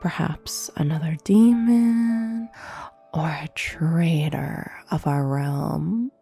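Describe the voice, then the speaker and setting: Demon duchess , voiced by a woman: haughty, sadistic banquet hostess for ASMR roleplay; dark mellow tone, very unhurried, breathy whispers, formal and teasing. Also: sits low, full character voice. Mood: sinister relish. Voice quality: breathy, often dropping into whisper. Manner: slow, formal, imperious, menacing teasing. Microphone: close binaural microphone. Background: soft piano music.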